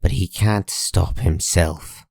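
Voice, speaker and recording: A man narrating a comic dub in a measured, solemn and dramatic scripted style. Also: low voice, fairly even intonation, creaky, a little mellow, no room sound, studio quality.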